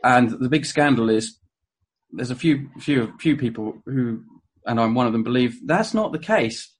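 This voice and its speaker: A man's voice: medium pitch, lively intonation, moderate pace, husky. A man giving an informal history talk to a room, full of ums and uhs, self-correcting and dropping wry asides. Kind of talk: informal spoken presentation to a live audience.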